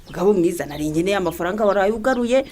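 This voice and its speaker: A woman's voice: mid-range voice, animated, racing, a little mellow, slight background noise, lightly acted. A Rwandan woman playing a fed-up, reproachful wife, complaining insistently in a radio drama.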